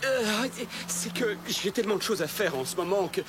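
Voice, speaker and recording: A man's voice: sits high, lively intonation, moderate pace, background noise. An actor playing a dashing young masked swordsman in a dubbed cartoon, with a jaunty, teasing, theatrical manner. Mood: confiding, troubled.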